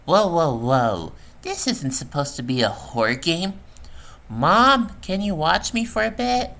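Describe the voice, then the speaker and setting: A man playing an excitable kid gamer, pitched up. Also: a little slow. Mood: alarmed. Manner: exclaiming, incredulous muttering. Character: excitable young boy gamer, jokey then uneasy. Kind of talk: amateur horror audio drama acting.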